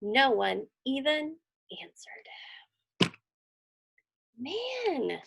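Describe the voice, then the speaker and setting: A woman's voice: sits high, huge pitch swings, extremely slow, gravelly, fairly bright tone. A woman reading a children's story aloud expressively, with dramatic emphasis and chatty asides. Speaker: storybook reader. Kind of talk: animated children's read-aloud with conversational asides.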